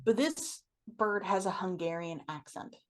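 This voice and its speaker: A woman's voice: mid-range voice, unhurried. A woman with a mid-range voice acts as game master for an online tabletop game. She narrates scenes and talks rules with her players over a call. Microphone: online voice call from own mic.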